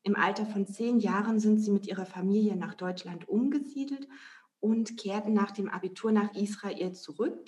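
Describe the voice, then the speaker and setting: A woman co-hosting an educational podcast, reading measured introductions and questions. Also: mid-range voice, darkish tone. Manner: measured, reads guest introduction. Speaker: podcast co-host. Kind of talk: scripted podcast moderation.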